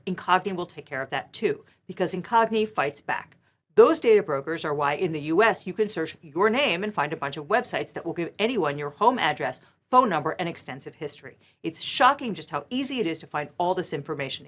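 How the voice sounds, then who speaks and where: A woman lawyer hosts the show and delivers scripted legal commentary with a wry, sardonic edge. Her voice sits low for a woman. Her pitch moves in a lively, animated way.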